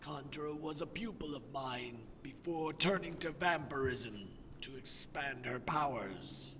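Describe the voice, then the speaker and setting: A man's voice: medium pitch, unhurried, pushed and bright. A man playing a learned, formal master mage in a fantasy video game, with a theatrical manner. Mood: grave, cautionary. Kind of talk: scripted fantasy game dialogue. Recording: long hall reverb, faint background noise.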